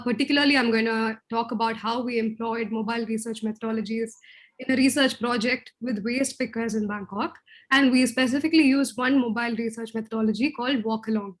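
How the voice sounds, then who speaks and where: A woman researcher giving an online presentation, explaining her method while often clearing a scratchy throat. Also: a little high.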